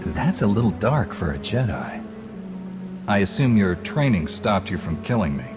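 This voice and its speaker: A skeptical knightly hero for a sci-fi game. His voice is fairly deep, firm and dryly direct. Music plays clearly behind him. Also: very animated, moderate pace. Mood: wary, dry. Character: resolute, skeptical male Jedi knight hero. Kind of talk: dramatic video game voice acting.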